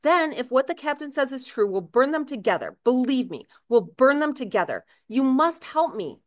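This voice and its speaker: Woman reading as a desperate, urgent husband, pitched up. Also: sing-song, normal speed. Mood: pleading. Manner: urgent, earnest pleading. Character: desperate, agitated husband pleading with his wife. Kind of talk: dramatic novel reading.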